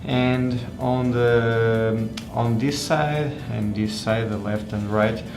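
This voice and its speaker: A man giving an unscripted hands-on product review in a halting, hesitant way, often pausing and repeating words. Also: medium pitch, fairly even intonation, echoey room, over music. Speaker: tech reviewer presenting a phone.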